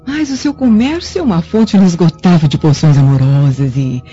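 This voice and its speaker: A woman's voice: lowish voice, moderate pace, dark tone, lightly acted. An actress playing a scheming, haughty middle-aged Roman mother in a radio drama, dramatic and insinuating in delivery. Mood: sinister, knowing. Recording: dull lo-fi radio drama, music behind.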